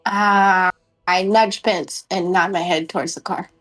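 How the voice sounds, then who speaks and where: Slow-talking woman at a tabletop game. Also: fairly low pitch, steady tone, a bit bright, soft background music. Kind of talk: casual table talk.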